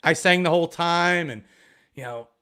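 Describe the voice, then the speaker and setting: A man from Ohio chatting as a guest on a casual live stream about metal detecting, excitable and full of restarts. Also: fairly high pitch, normal speed, smooth. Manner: excitable, rambling, many restarts.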